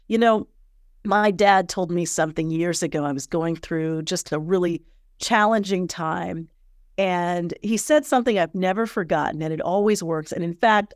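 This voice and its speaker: A woman's voice: fairly low pitch, a little mellow, dry recording, studio quality. A woman hosting a motivational podcast as a personal coach, talking in a candid, earnest and encouraging way, slightly stuffy from sinus pressure.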